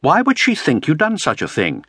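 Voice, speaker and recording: A man voicing an impatient young Elizabethan man who presses with raised, sharp questions. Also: a little high, wildly swooping pitch, moderate pace, husky, no echo, clean studio sound, voice pushed up, full character voice. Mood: insistent.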